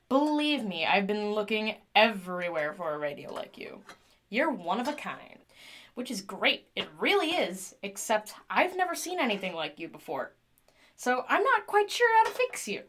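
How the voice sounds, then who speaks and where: An actress playing an eager, flustered young woman tinkerer in an audio drama, rambling and chatty as she corrects herself. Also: high-pitched. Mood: coaxing, earnest.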